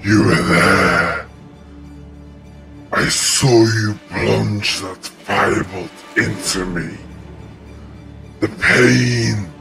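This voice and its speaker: A man's voice: lowish voice, drawn-out words, vocal fry, long pauses, over loud music, full character voice. A man playing an ancient, hungry plant monster in an audio drama, menacing and vengeful, making grandiose pronouncements.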